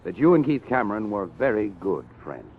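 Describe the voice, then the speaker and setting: An actor playing a shrewd, dry police inspector in a 1950s radio crime drama, probing and sardonic. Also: male voice, lowish voice, very animated, fairly quick, phone-quality sound. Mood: pressing. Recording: band-limited old radio recording, faint music.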